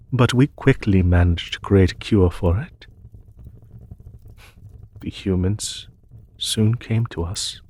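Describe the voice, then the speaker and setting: A man playing a courteous, war-weary demon emperor in an intimate audio roleplay, speaking formally and gently with a husky voice. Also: fairly low pitch, no room sound. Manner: formal, measured, gently spoken.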